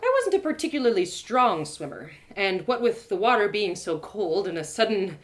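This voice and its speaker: A woman narrating her own audio drama with an expressive, animated delivery. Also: mid-range voice, normal speed. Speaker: storyteller.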